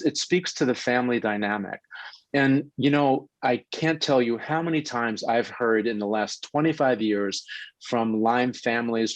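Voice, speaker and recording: A man's voice: medium pitch, dry recording. A man with a New York accent hosting a health show, introducing a guest in an upbeat, chatty way. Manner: upbeat, chatty, spells things out slowly. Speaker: host. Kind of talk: podcast host introduction.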